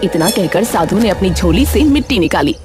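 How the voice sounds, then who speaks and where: Expressive woman narrating a story. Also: mid-range voice, fairly quick. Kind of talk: scripted cartoon story narration. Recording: music behind the voice.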